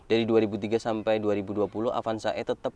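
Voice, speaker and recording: A man's voice: fairly low pitch, level tone, fast talker, darkish tone. A man giving a casual walkaround car review, explaining things informally point by point.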